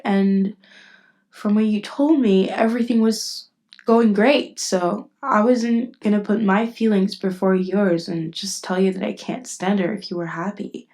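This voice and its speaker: A woman's voice: mid-range voice, darkish tone, close to an everyday voice. A woman voice acting a caring, indignant best friend in an intimate ASMR roleplay, speaking casually and bluntly. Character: protective, indignant female best friend comforting someone. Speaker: voice actress.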